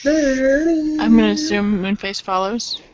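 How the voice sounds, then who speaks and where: A woman's voice: high-pitched, animated, takes it slowly. A woman playing a tabletop roleplaying game, speaking casually in character over a lo-fi voice chat. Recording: noisy, muffled lo-fi voice chat, clicks.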